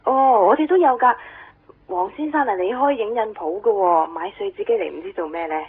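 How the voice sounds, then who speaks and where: A woman's voice: mid-range voice, fairly quick. A woman with a Hong Kong Cantonese accent playing a pushy photocopier saleswoman heard down a telephone in a radio drama. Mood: curious. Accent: Hong Kong Cantonese with English words mixed in. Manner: eager sales patter. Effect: telephone filter. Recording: thin, husky-sounding telephone line effect.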